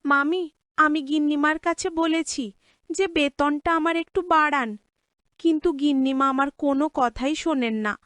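Woman playing a very high-pitched, plaintive young housemaid. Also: steady tone, normal speed, a bit bright, dry recording. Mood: tearful pleading. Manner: plaintive, beseeching, respectful. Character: put-upon young orphan housemaid pleading with aunt. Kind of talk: cartoon drama voice acting.

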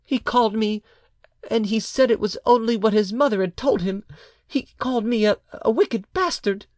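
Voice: mid-range voice, moderate pace, no echo, studio quality, voice pushed up, natural voice. An audiobook narrator voicing a plaintive boy of about nine in a storybook tale. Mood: hurt, puzzled.

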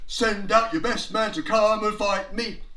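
A storyteller voicing a boastful giant warrior who declaims slow, loud challenges in a live children's tale. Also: mid-range voice, fairly even intonation, recorded from a distance, pitched above the performer's own voice. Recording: echoey room.

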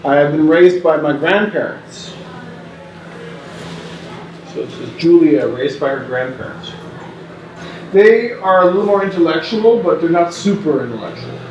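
Man, 40s, measured reader with halting restarts; distant mic, faint room ambience. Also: a little high, lively intonation, darkish tone, reverberant, muffled recording, a little hiss or hum. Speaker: performer reading interview notes. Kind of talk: reading recorded interview answers aloud. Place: small indoor gallery room with hanging paper strips. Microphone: far camera mic.

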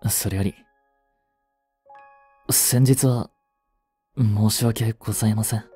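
A man voicing a devoted, protective young butler in a romantic voice drama, frantic while searching a fire and soft and tender at a bedside. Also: lowish voice, steady tone, takes it slowly, slightly rough, soft background music. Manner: soft, gentle and intimate in the bedside scene.

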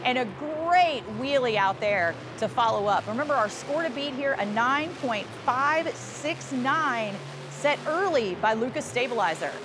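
A woman's voice: high-pitched, animated, measured pace, few pauses, noisy background. A woman doing upbeat play-by-play commentary on a motorsport show, with engines behind her. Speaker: sports commentator. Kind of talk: arena sports commentary.

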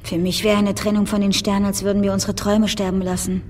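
An actress in a radio drama playing a brisk, pragmatic, outspoken woman. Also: mid-range voice, level tone, darkish tone, slight background noise, natural voice. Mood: opinionated, persuasive. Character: brisk, outspoken woman business confidante in a future world. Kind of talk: German radio drama acting. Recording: studio radio drama with faint music.

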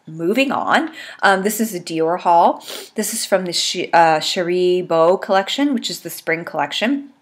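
Congested woman chatting to her webcam. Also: on the low side. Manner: chatty, rambling, many ums. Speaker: beauty vlogger. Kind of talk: casual chat to camera. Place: small room at home, indoors. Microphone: webcam at desk.

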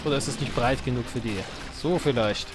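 A man's voice: medium pitch, fast talker. A man giving casual, dryly ironic let's play commentary, half talking to himself. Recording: game music behind voice. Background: strategy game sounds and music.

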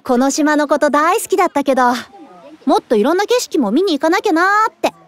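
An actress playing a friendly young woman teacher in an anime audio drama, with an expressive, airy way of talking. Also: high voice, brisk. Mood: cheerful.

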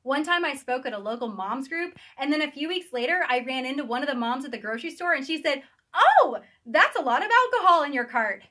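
A middle-aged American woman reading her own humorous audiobook in a recording studio, with an animated, wry, emphatic delivery. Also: sits high, a little fast. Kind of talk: scripted audiobook narration, comedic personal essay. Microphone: studio microphone on boom arm, wearing headphones.

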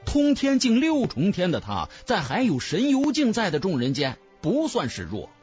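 A man's voice: high voice, wildly swooping pitch, normal speed, darkish tone, husky, muffled recording. A man narrating a fantasy novel as a dramatic scripted audiobook. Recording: music bed under the voice.

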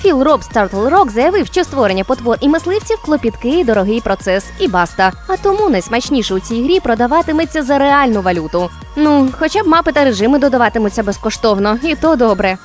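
A woman co-hosting a video game news show, reading scripted items with crisp delivery and wry teasing. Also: fairly high pitch, sing-song, fast talker, few pauses. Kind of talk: scripted news narration with banter. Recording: music bed behind the voice.

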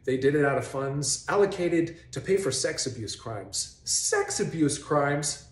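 A man in his forties hosting a faith video, giving sarcastic, mock-incredulous commentary straight to camera in a bright living room. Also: a little high, lively intonation, measured pace. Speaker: host of a faith video series. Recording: roomy echo in a home.

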